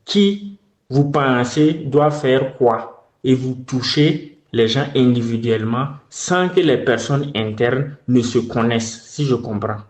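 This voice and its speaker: A man's voice: medium pitch, slow, darkish tone. A man with a West African French accent talks in a private phone conversation, hesitantly, often repeating his words. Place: indoors, in a bedroom on a phone call. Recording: echoey, dull phone call recording.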